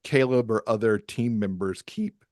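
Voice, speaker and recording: A man's voice: lowish voice, normal speed, darkish tone, no echo, clean studio sound. An American man from Michigan speaking casually as an interview guest, explaining things in a chatty way. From Michigan, USA. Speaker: podcast guest, romance author.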